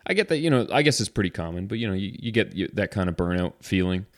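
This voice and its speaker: A man's voice: low voice, fast talker, no room sound, studio quality. A man hosting a podcast in casual, rambling chat, a little congested. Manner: relaxed, rambling, frequent restarts. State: slightly congested. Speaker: podcast host.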